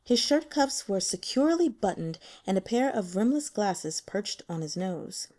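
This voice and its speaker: A woman reading a novel aloud as an audiobook narrator, with expressive, dramatic storytelling. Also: medium pitch, moderate pace. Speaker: audiobook reader.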